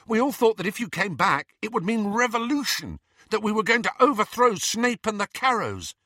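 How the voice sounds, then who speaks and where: A man's voice: sits high, sing-song, moderate pace, bright, forceful tone, no room sound, clean studio sound, pitched above the performer's own voice, exaggerated voice. A man voicing an earnest, indignant teenage boy rebel in an audiobook, speaking insistently and emphatically.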